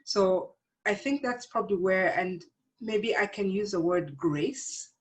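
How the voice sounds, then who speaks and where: A middle-aged woman, speaking as an interview guest in a measured, explanatory and reflective way. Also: medium pitch. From born in Uganda, grew up in South Africa. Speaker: interview guest, psychologist and teacher.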